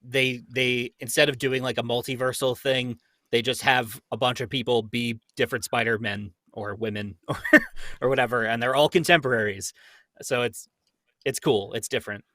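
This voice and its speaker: Bright-voiced man in casual fan chat. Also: medium pitch, steady tone, moderate pace, no room sound, clean studio sound. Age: young adult. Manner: opinionated, digressive, frequent use of "like".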